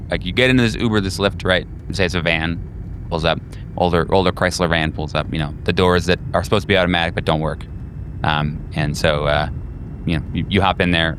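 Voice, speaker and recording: A man's voice: low voice, fairly bright tone, slight background noise. A man improvising narration as game master, in a casual, conversational way with some swearing.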